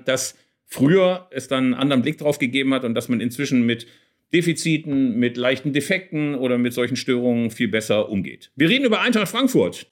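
A middle-aged man co-hosting a casual football discussion, explaining things earnestly and at length. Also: fairly high pitch. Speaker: podcast co-host.

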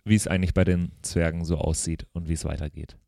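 A man's voice: sits low, fairly even intonation, fast, gravelly, warm tone, no room sound, studio quality. A man speaking casually as co-host of a tabletop podcast, greeting and chatting with listeners. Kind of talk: casual chat.

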